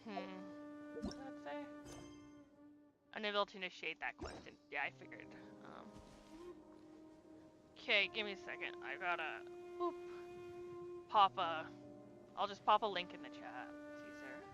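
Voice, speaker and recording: A woman's voice: mid-range voice, very animated, normal speed, long pauses, music in the background. A woman streaming a video game, chatting offhand with viewers and swearing casually as she plays. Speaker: gaming streamer. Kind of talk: casual live-stream chat while playing.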